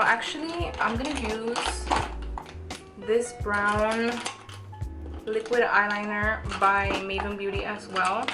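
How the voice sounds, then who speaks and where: A woman in her early twenties talking informally through a makeup tutorial, chatty and thinking aloud as she goes. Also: medium pitch. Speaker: beauty vlogger. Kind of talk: casual makeup tutorial chat. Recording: roomy echo with background music.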